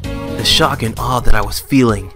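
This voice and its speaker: A man's voice: medium pitch, moderate pace, over music, close to an everyday voice. A man voicing a goofy, self-important young artist in a video game dub, theatrical and boastful but casual. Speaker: fan dub voice actor.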